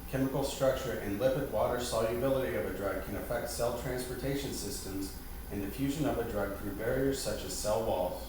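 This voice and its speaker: Echoey, distant man presenting a formal lesson. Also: medium pitch. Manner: formal, instructional. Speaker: lesson presenter quizzing a partner. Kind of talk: scripted educational presentation, read out. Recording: very echoey, distant mic, noisy background.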